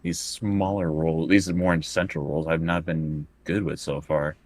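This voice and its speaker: A man's voice: rumbling low, little pitch movement, unhurried. A man chatting casually as a tabletop roleplaying player, thinking aloud and working through his choices bit by bit.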